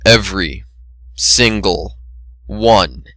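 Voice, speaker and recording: A man narrates a story as a dramatic reading. His voice is bright and forceful, pitched a little low, and the recording is dry and close. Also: animated, drawn-out words, dry recording. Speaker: amateur reader of fan fiction.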